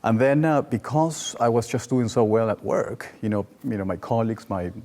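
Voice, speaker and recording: A man's voice: mid-range voice, normal speed, a little mellow. A man in his forties from Peru, a native Spanish speaker with a Peruvian accent, talking conversationally as an interview guest. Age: 40s. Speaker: well-being coach and guest. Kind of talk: conversational personal storytelling in an interview.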